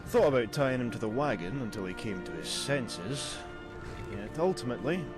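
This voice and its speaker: Man voicing a tired traveling trader, dry, sardonic, animated pitch, game audio, loud music behind. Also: moderate pace, close to an everyday voice. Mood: wry. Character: weary male traveling merchant, wry.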